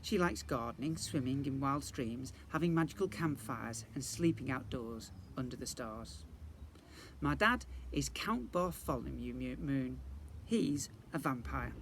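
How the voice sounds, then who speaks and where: A man's voice: mid-range voice, lively intonation, unhurried, a bit bright. A man narrating a children's storybook like an infant school teacher, expressively and directed at young listeners. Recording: faint background noise.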